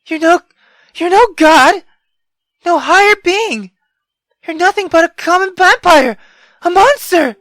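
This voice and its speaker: A woman voicing a defiant, anguished young woman in a visual novel, speaking in a forceful, accusing way. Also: very high voice, animated, a little slow, pauses often, dry recording, voice pushed up, heavily acted. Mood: furious accusation. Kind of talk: dramatic visual novel read-aloud.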